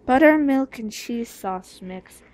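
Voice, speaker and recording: A woman tossing out loud, cheeky quips while cooking with friends in a small home kitchen. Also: a little high, animated, slow pace. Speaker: cosplayer cooking on camera. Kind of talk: playful joking banter. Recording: noisy home video.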